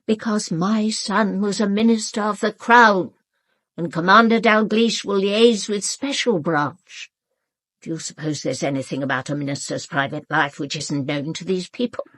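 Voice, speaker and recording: A woman playing an imperious, sharp-witted elderly aristocratic lady in a British radio drama, with an upper-class English accent and a formal, cutting, controlled delivery. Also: mid-range voice, moderate pace, no echo, close to an everyday voice. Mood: measured, warning. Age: older.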